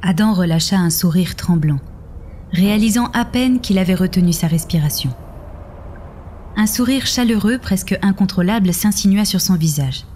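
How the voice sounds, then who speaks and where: A woman's voice: mid-range voice, normal speed, warm tone, slight background noise. A woman narrating scripted audio fiction in a literary storytelling style. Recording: music behind the voice.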